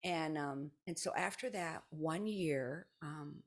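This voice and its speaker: A woman in her sixties with an American accent and a low-pitched, slightly rough voice. She chats casually through her own stories, circling back, breaking off sentences and voicing what others said. She comes across as a guest in a relaxed conversation. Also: slow pace. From South Dakota, United States. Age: 60s. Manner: rambling, self-interrupting, anecdotal with quoted dialogue. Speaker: podcast guest, retired kindergarten teacher.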